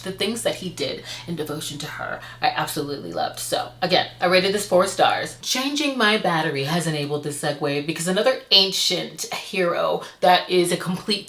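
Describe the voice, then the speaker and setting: A woman hosting a casual book review vlog, speaking to viewers in an enthusiastic, expressive and emphatic way. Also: fairly low pitch, reverberant. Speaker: book review vlogger and host. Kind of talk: casual monthly reading wrap-up to camera.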